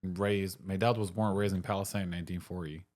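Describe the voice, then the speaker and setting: Deep-voiced blunt Syrian-born man. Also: steady tone, fast, a little mellow. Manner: blunt, short answers. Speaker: cafe owner, guest. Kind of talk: casual interview talk.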